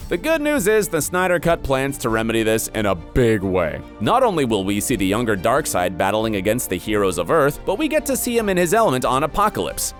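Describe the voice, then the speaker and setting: A man narrates a scripted film breakdown at a middle pitch, with intonation that rises and falls dramatically. His delivery is emphatic yet conversational, like an online presenter. Faint music plays behind him. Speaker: video narrator.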